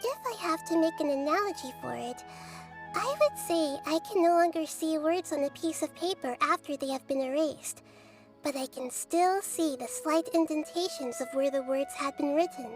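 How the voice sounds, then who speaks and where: A woman voicing a gentle, wise young girl deity in a video game, speaking in a measured, earnest and thoughtful way. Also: high-pitched. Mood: reflective, gentle. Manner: measured, articulate, reflective. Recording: game music behind the voice.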